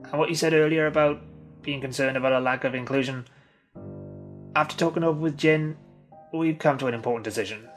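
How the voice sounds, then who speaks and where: A man playing a self-important group member in a comic web film, speaking with deadpan mock formality. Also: on the high side, fairly quick, long pauses, faint music behind, lightly acted. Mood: mock solemn.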